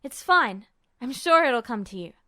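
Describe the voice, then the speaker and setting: A woman voicing an excited, impatient teenage boy in a story reading, blurting things out with emphatic insistence. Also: fairly high pitch, a little slow, heavily acted. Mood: upbeat. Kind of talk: audiobook-style character dialogue.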